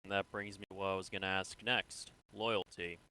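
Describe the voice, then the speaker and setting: A man playing a measured military interrogator in a sci-fi game role-play, asking probing, deliberate questions. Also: sits low, level tone, bright, forceful tone, poor audio.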